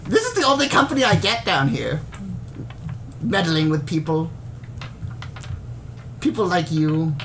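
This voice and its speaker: A woman's voice: on the low side, big pitch swings, a little slow, long pauses, fairly bright tone, a little hiss or hum, pitched below the performer's own voice, heavily acted. A woman playing a petulant ancient mad wizard. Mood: weary. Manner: petulant, rambling, scornful.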